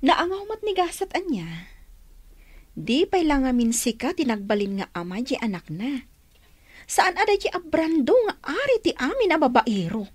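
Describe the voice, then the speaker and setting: A woman's voice: high-pitched, moderate pace, dry recording. A woman playing a chirpy young best friend in a radio drama, playful and teasing. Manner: playful, animated delivery.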